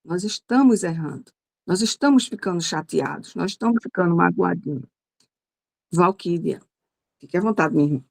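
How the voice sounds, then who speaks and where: A woman's voice: on the low side, lively intonation, moderate pace, gravelly, darkish tone. A Brazilian woman hosting an informal spiritual study group and inviting others to speak. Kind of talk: leading an informal group discussion.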